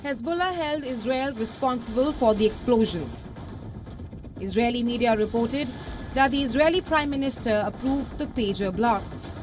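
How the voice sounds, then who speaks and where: A woman with a high voice narrates a scripted news report. Her delivery is formal and measured, and music plays clearly behind her. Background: music bed.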